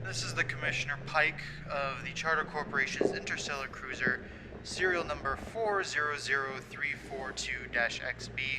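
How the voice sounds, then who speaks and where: A man's voice: fairly high pitch, moderate pace, background noise, close to an everyday voice. A man playing a stern corporate commissioner. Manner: officious, threatening. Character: stern male corporate fleet commissioner, officious. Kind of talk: fan audio drama acting.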